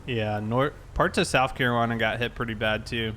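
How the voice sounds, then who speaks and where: A man's voice: mid-range voice, brisk, pushed and bright, slight background noise. An American man chatting casually and in a laid-back, conversational way while livestreaming a game.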